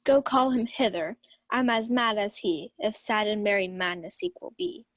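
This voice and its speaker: A woman's voice: mid-range voice, animated, normal speed, no room sound, close to an everyday voice. A woman playing a proud, lovestruck young lady of the house in a Shakespeare radio play, formal and declamatory. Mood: puzzled, commanding. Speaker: student actor.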